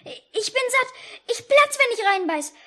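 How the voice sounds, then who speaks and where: A woman's voice: very high voice, expressive, a little slow, sharp tone, heavily acted. A woman playing a chatty, naive and curious young girl in a 1950s radio play. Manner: artless, chattering.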